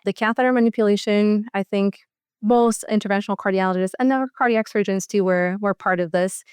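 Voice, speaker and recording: A woman's voice: mid-range voice, fairly even intonation, brisk, darkish tone, clean tone, no echo, clean studio sound. A woman speaking as a cardiologist in an interview, explaining a procedure in a conversational style.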